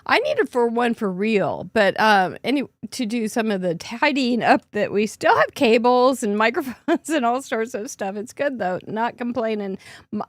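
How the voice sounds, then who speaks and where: A middle-aged woman host with a hoarse voice, chatting in an upbeat way and laughing often as she shows off gifts. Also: a little high, dry recording, clean studio sound. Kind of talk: casual show-and-tell recap.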